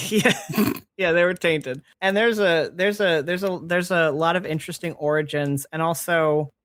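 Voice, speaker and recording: A podcast host in their late twenties explaining fantasy lore in casual chat, halting and chuckly but enthusiastic. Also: mid-range voice, a bit bright, no echo. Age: late 20s.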